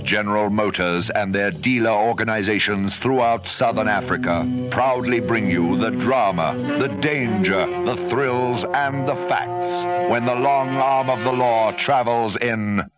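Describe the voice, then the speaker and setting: A man's voice: on the low side, unhurried, hardly any pauses. A man announcing an old radio series in a dramatic scripted introduction. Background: loud music.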